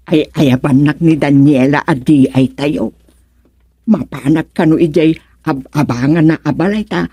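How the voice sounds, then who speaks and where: A woman's voice: low-pitched, unhurried, mellow, husky, no echo, clean studio sound, heavily acted. An actress playing a friendly village woman coaxing her neighbour in an Ilocano radio comedy drama.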